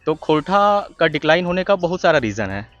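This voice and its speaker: A man from Jharkhand explaining facts in a steady interview answer. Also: on the high side, a little fast, dull audio, soft background music. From Jharkhand, India.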